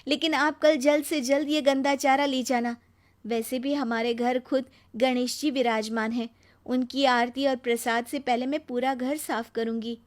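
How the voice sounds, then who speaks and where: Woman acting earnest, high-voiced poor village mother. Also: fairly even intonation, normal speed, natural voice. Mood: polite, reluctant. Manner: earnest, pleading, humble. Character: poor, devout, kind-hearted young village mother. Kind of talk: Hindi moral storybook acting.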